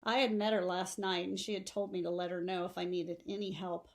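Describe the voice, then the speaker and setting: A woman reads a novel aloud in an expressive, audiobook-style storytelling delivery. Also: lowish voice, a little fast. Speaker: teacher reading a novel aloud.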